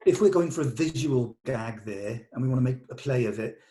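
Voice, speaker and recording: Mellow-voiced man, explaining things casually. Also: mid-range voice, lively intonation, a little fast. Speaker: film director leading a table read. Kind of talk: casual production meeting talk.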